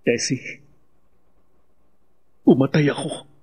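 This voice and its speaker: Husky, guilt-ridden Filipino husband. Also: male voice, mid-range voice, mellow. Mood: haunted, confessing. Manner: halting, heavy, remorseful delivery. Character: guilt-ridden husband confessing to robbery and killing. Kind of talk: Filipino radio drama acting.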